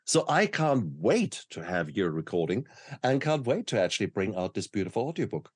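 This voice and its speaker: Low-pitched, encouraging interview host. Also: big pitch swings, normal speed, slightly rough, no echo. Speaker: interview host, book co-author.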